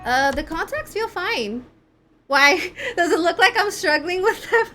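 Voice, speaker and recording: A woman's voice: very high voice, animated, unhurried, pushed and bright. A young woman chatting informally and casually to viewers on a live game stream, with an easygoing sweary, giggly manner. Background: game music.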